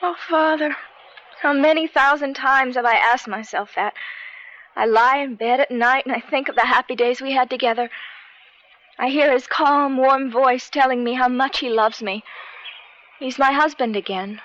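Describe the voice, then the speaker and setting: A woman's voice: sits high, normal speed, a bit bright. An actress in a radio drama playing a wounded, lovelorn estranged wife who confides in her father. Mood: anguished. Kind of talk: 1970s radio drama acting. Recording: dull old radio recording.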